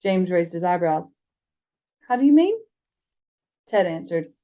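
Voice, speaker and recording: A woman's voice: fairly low pitch, big pitch swings, a little slow, lo-fi sound. An American woman co-hosting a fan podcast, reading stories aloud and chatting casually about books. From United States. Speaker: podcast co-host and audiobook reader. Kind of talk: story reading and casual book chat.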